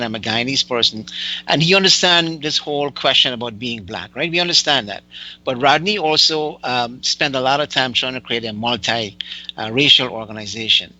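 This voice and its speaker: A man's voice: mid-range voice, bright, forceful tone. A man hosting an online panel discussion, asking questions hesitantly and cutting in to steer the talk. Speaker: host. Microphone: video call. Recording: dull lo-fi video call audio.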